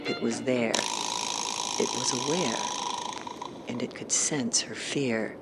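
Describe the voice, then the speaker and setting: A narrator reading a scripted horror story in a measured, suspenseful way. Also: medium pitch, steady tone, low-quality recording. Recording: loud music under the narration. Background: loud music.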